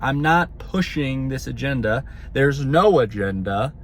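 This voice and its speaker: A man's voice: mid-range voice, expressive, a little slow, a little hiss or hum. A man talking to his viewers in a casual monologue, earnest and rambling, often tagging his sentences with "okay?" and repeating words. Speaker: spiritual vlogger.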